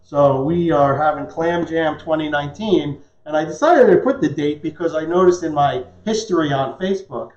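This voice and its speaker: A man's voice: fairly high pitch, moderate pace, few pauses, darkish tone. A man in his fifties hosting a live cooking demonstration in a casual, conversational, joking way. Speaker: chef and cooking show host. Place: home kitchen, indoors. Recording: echoey kitchen, dull muffled sound.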